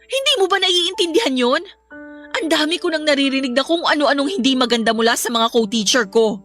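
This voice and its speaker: An actress plays a young Filipino woman worn down by a strained romance in a radio drama. Her high voice is exasperated and defensive. Soft music sits behind her. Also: expressive, fairly quick. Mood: weary, defensive. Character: weary, exasperated young teacher girlfriend torn under pressure.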